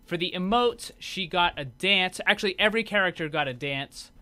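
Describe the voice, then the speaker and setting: Bright, higher-voiced man presenting game cosmetics. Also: expressive, moderate pace. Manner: informal, conversational, explanatory. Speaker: gaming video host. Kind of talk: casual video game showcase commentary.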